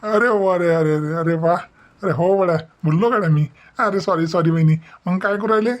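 A man acting as a village brother-in-law with a high voice and a rural Vidarbha accent. He teases and complains in folksy, repetitive phrases, in the style of a comic story cartoon. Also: moderate pace, close to an everyday voice. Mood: sheepish. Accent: rural Vidarbha Marathi.

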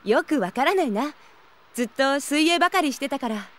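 A frank, sporty young woman in an audio drama. She talks casually and a little tomboyishly in a high voice. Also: animated, a little fast. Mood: friendly, frank. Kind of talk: Japanese audio drama acting.

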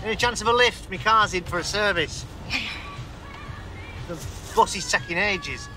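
An actor playing a boastful, touchy middle-aged fishmonger, talking pompously in a small car. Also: male voice, high voice, normal speed, music in the background, close to an everyday voice. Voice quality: pompous, self-important.

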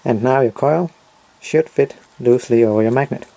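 A man's voice: mid-range voice, moderate pace, gravelly, warm tone. A man narrating a step-by-step DIY tutorial in short, simple, matter-of-fact instructions.

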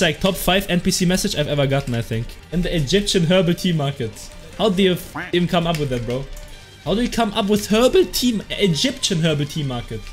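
Young German streamer, heavy accent, slightly high voice, faint game music behind, loose and sweary. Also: male voice. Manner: excitable, swears freely, laughs at chat. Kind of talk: casual live game commentary, reading chat. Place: small room at home.

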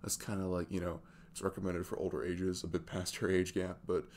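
A man playing a tired, sweet, easygoing working single dad in a soft-spoken, hesitant, rambling ASMR roleplay chat. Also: deep voice, flat delivery, a little fast, slight background noise, close to an everyday voice. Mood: tender, rambling.

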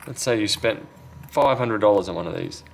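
Low-pitched man muttering through hands-on work. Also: moderate pace. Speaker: phone repair technician and video host. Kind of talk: casual hands-on repair commentary. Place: indoor repair workbench. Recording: clear background noise.

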